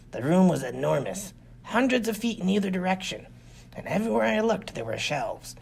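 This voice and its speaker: A man's voice: fairly high pitch, creaky. A man reading a first-person science fiction adventure story as scripted audiobook narration. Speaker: audiobook reader.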